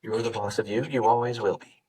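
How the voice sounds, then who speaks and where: Low, husky-voiced man guiding meditation. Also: fairly even intonation, recorded from a distance. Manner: gentle, unhurried instruction with pauses. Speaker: yoga teacher. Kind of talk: guided meditation.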